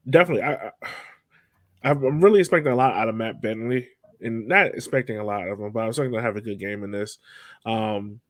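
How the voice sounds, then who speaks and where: A man's voice: mid-range voice, dry recording, clean studio sound. A man hosting a podcast, chatting casually about sport in an informal way. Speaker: podcast host.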